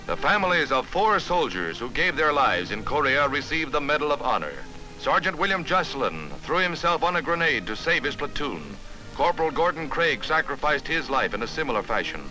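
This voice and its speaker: Male American newsreel narrator, early fifties style. Dramatic scripted delivery, fairly high voice, music underneath. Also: rough recording. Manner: formal, emphatic, dramatic announcer delivery. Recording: vintage newsreel soundtrack with music.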